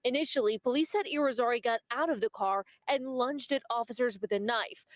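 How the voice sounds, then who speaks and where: A woman's voice: a little high, brisk, no echo, clean studio sound. A woman reporter delivering scripted television news narration.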